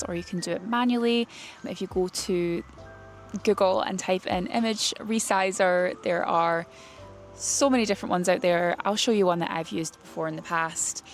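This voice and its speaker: Woman giving a tutorial, slightly creaky voice. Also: medium pitch. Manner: plain-spoken, explanatory. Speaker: presenter of a website tutorial series for writers. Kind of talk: informal instructional explainer.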